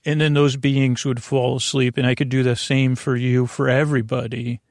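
A man with a creaky voice hosting a sleep podcast, speaking in a meandering, halting bedtime monologue full of soothing tangents and mild whimsy. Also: mid-range voice, little pitch movement, dry recording, studio quality. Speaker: podcast host.

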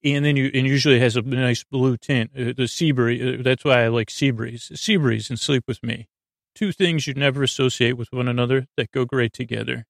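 A man hosting a sleep podcast, rambling in a meandering, stammering monologue with frequent digressions and a gravelly, creaky voice. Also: medium pitch, fairly even intonation, moderate pace, dry recording, studio quality. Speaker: podcast host.

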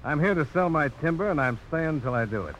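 Plain-spoken young frontiersman, animated. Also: a little high, fast, poor audio. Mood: firm. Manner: straightforward, firm, courteous. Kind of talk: 1950s radio drama acting. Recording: hissy old radio broadcast.

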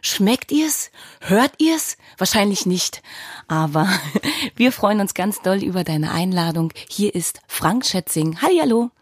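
A woman's voice: medium pitch, expressive, measured pace, slightly rough, dry recording, studio quality. A woman hosting a podcast interview in a chatty, playful and informal way. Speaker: podcast host. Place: studio.

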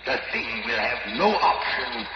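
An actor playing a sinister, scheming puppeteer villain in an old radio drama, theatrical, gloating and domineering. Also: male voice, medium pitch, expressive, a little slow, natural voice. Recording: noisy muffled old radio recording.

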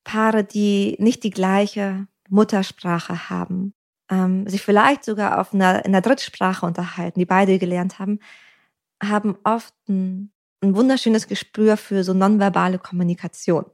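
This is a woman therapist talking through ideas in a podcast chat. Her voice sits at a middle pitch for a woman. She speaks reflectively, leaning on everyday examples and frequent ums. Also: fairly even intonation, normal speed. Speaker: couples therapist. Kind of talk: podcast conversation.

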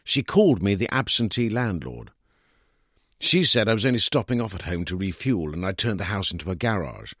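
Guy reading a short story, lowish voice, super animated, a bit husky, sounds dry and put out. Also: a little fast, no echo. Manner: dry, aggrieved, self-justifying, expressive character reading. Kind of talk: literary audiobook narration in first person.

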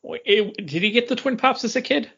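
A man's voice: very high-pitched, a little fast, gravelly, bright, forceful tone, breathy edge. A man chatting casually as a podcast co-host, playful and rambling in the way he talks. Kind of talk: casual chat. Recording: dull lo-fi audio.

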